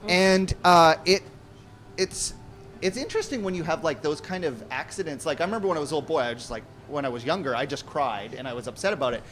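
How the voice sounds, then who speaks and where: A man's voice: on the high side, expressive, quick pace, a bit bright, slight background noise. A man casually telling a rambling personal story, acting out bits of dialogue, with guitar music playing behind him.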